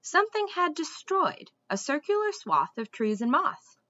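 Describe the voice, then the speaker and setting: A young adult woman reading a storybook aloud like a teacher, with deliberate, dramatic phrasing. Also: high voice, big pitch swings, bright, forceful tone, no room sound, highs cut off. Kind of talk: expressive storybook read-aloud. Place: small room indoors at home.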